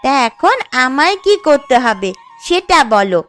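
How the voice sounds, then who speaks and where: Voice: high voice, sing-song, a little slow, fairly bright tone, exaggerated voice. A performer playing a whiny, pleading, always hungry young village daughter in a children's folktale cartoon. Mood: reluctant. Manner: pleading, whining. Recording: music under the voice.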